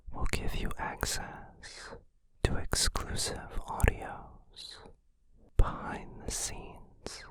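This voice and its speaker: A man making a breathy, whispered ASMR announcement as a voice actor addressing supporters. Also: fairly bright tone, poor audio.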